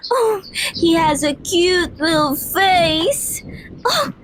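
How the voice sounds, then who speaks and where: Very high-pitched, girlish vampire lady. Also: expressive, drawn-out words, fairly bright tone, slight background noise, full character voice. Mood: delighted, curious. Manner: giggly, girlish, hesitant little fillers. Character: playful, childish, excitable young vampire lady of the house. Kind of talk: ASMR roleplay acting.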